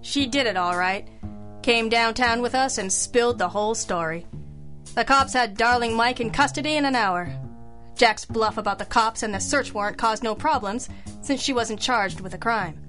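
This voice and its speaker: An actress playing a composed, sharp female detective in an old-style radio drama, matter-of-fact, knowing and wry. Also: fairly high pitch, moderate pace, soft background music, lightly acted. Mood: wry narration.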